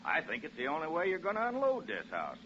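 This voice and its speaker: A breezy American property salesman in a 1940s radio play. His voice is bright and very lively, with a folksy, reassuring patter. The recording is muffled and old. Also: a little high, fast, a little hiss or hum. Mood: breezy, persuasive. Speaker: real estate agent.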